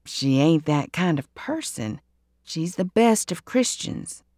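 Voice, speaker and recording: A plain-spoken country man with a lowered, unhurried voice and folksy, halting speech, as a woman narrator puts him on. His words come slow and careful in a dry, close storybook reading. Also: very animated, darkish tone, no echo, heavily acted. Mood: earnest.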